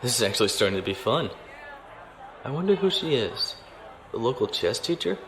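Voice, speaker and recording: An actor playing a self-assured naval officer, giving a dry, cool inner monologue. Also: male voice, mid-range voice, very animated, brisk, a bit bright, some chatter behind, slight background noise. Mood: amused. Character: cool, cocky male naval officer in disguise. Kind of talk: audio drama acting.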